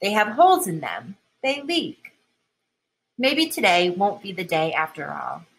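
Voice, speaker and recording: A woman reading a children's picture book aloud in an expressive, deliberate way, leaning on key words. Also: mid-range voice, creaky, slightly rough. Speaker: storybook reader.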